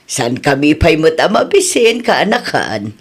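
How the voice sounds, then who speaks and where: A woman's voice: low voice, very animated, unhurried, slightly rough, heavily acted. A woman playing a chatty, nosy middle-aged village auntie with an Ilocano accent in a Filipino radio comedy drama. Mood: mildly defensive.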